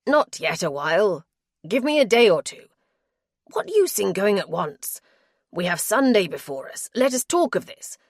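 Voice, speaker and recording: Woman playing a sly desperate husband, pushy and loud, begging nonstop, dry close mic. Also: mid-range voice, big pitch swings, normal speed, dry recording. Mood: pleading. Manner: urgent, begging. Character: pleading, cunning husband, grown man. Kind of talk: audiobook character voice.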